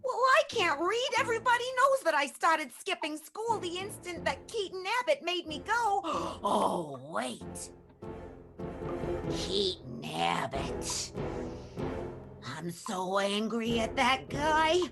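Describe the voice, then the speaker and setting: A woman playing a confused, slow-witted, vengeful young werewolf in an improvised radio play, bewildered and dramatic. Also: sits high, sing-song, vocal fry, over music, full character voice. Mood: indignant.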